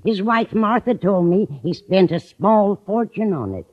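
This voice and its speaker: Older female landlady, fond, inquisitive, swooping pitch, 1950s radio comedy, dull vintage recording. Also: animated, unhurried. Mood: puzzled, inquisitive. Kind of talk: 1950s radio sitcom acting. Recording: muffled old-time radio recording.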